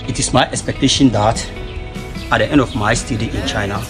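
A young man from Ghana, a master's student, introducing himself in a steady, formal way outside a building. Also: on the low side, a little fast, music in the background. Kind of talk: self-introduction.

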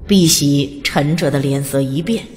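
A woman narrating a fantasy audiobook in an even, storytelling delivery. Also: sits low, measured pace. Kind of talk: scripted narration. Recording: long reverb.

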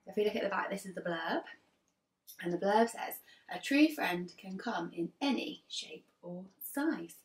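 A woman in her thirties reading a children's story aloud like an infant teacher, expressively, with dramatic pauses between phrases. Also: medium pitch, lively intonation. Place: living room sofa, indoors. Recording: roomy, distant mic, faint music behind.

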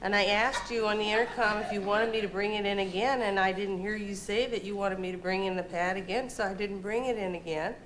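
A woman's voice: medium pitch, steady tone, fairly bright tone, lightly acted, poor audio. A woman playing a ditzy young secretary in a 1970s TV sketch, chirpy and rambling, on an old hissy tape. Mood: oblivious. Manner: chirpy, slow-witted, rambling. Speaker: dim secretary.

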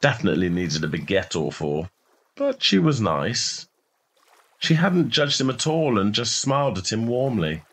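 A man with a low-pitched voice reads literary fiction as a storyteller. His delivery is scripted and measured, with expressive phrasing throughout. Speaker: short story narrator.

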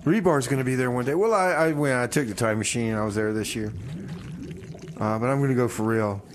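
A man's voice: mid-range voice, a little fast, a little hiss or hum. A man hosting a music radio show as DJ, casually announcing and bantering in a comic style.

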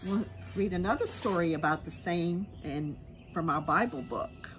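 A middle-aged woman, a preschool teacher, reads a Bible story aloud to young children in a simple, expressive storytelling manner. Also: medium pitch. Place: outdoors in a sunny grassy backyard. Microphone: camera a short distance away.

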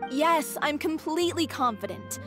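Devoted troupe performer, anime game: young, polite, earnest, higher register. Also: female voice, lively intonation, moderate pace, music in the background. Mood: determined. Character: gentle, devoted woman performer of a theater troupe. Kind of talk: anime video game dialogue.

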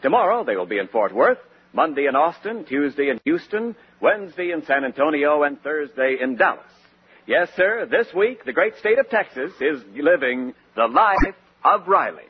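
A man's voice: fairly high pitch, big pitch swings, moderate pace. An American man announcing on 1940s radio, reading news and ad copy in a polished showman's style. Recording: muffled 1940s radio recording, faint hiss.

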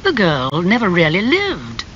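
A man voicing a languid, cynical English gentleman. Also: high-pitched, wildly swooping pitch, a little slow, muffled recording, a little hiss or hum, heavily acted. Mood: worldly, persuasive.

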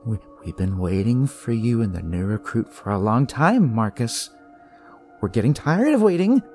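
A man's voice: mid-range voice, sing-song, moderate pace, darkish tone, soft background music, natural voice. A man voicing a deranged young cultist in a horror story, twitchy, stammering and menacing. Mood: impatient menace. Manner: unstable, stammering.

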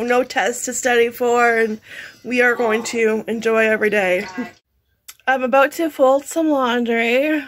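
A woman in her thirties chatting casually to camera at home as a lifestyle vlogger, laughing often as she talks through her chores. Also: fairly high pitch. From Canada. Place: bedroom at home, indoors.

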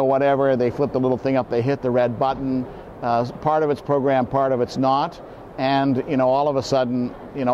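Man in his fifties, mid-pitched, halting explanatory delivery, slightly muffled recording, faint noise. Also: darkish tone. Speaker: boating program manager, expert guest. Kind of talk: informal interview explanation. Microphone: lapel mic.

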